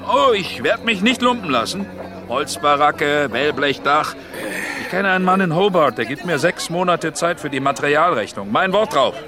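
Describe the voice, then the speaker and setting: Actor as a brash scheming trader, swinging pitch. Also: male voice, expressive, moderate pace, music in the background, close to an everyday voice. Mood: brash, pushy. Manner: brash, emphatic hard-sell talk with curses. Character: scheming, pushy male trader, gruff and boastful. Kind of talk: German radio play acting.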